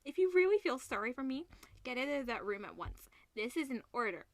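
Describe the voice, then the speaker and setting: A woman's voice: high-pitched, big pitch swings, fairly quick, dry recording. A woman reading the part of a desperate, menacing young male student in a visual novel, threatening and bitter. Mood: menacing demand.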